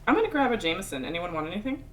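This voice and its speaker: A woman with a lively, varied pitch leads and reads along at a rehearsal table. She talks casually, with faint noise in the room. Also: racing. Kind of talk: casual direction and script read-through. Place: indoor room at a group table read.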